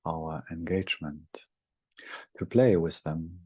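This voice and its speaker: Very deep, slow male meditation guide. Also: slightly rough, no room sound. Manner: gentle, halting phrases with long pauses.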